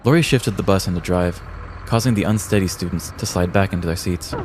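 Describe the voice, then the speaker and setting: An American man reading a scripted comedic story in a deliberate, theatrical storyteller's manner. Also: deep voice, a little mellow, a little hiss or hum. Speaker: podcast host telling a story.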